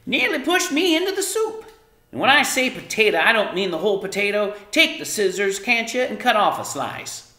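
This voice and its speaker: A man's voice: high-pitched, big pitch swings, normal speed, pushed and bright, echoey room, pitched above the performer's own voice, heavily acted. A man voicing a scolding, fussy, hot-tempered tiny mother from a storybook, with a nagging, exasperated delivery and rustic grammar.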